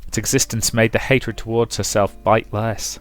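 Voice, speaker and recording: A man's voice: lowish voice, flat delivery, moderate pace, faint music behind. A man commentating on and reading aloud from a visual novel as a let's play host.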